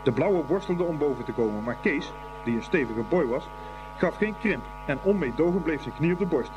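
A man's voice: fairly high pitch, lively intonation, moderate pace, dull audio, background noise. A man narrating a scripted story as the narrator of a radio play. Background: music.

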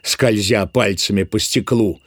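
A man's voice: on the low side, steady tone, a little slow, dry recording. Male narrator, very dry studio sound. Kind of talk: scripted literary narration in an audio drama.

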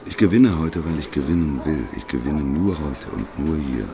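Very deep, creaky, enigmatic prophet. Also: male voice, expressive, moderate pace, heavily acted. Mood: calm, fatalistic. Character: enigmatic prophet, calm stubborn man who gambles with paradoxes. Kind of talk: radio play acting.

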